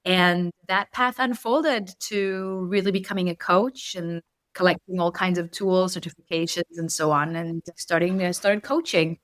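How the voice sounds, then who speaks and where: A woman from Israel, a native Hebrew speaker with an Israeli accent, speaking earnestly as a coach in an interview, slowing to stress key points. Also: lowish voice, steady tone, fairly bright tone, no echo. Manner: earnest, measured, slows for emphasis. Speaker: coach, podcast guest. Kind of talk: reflective interview talk. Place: home in Berlin.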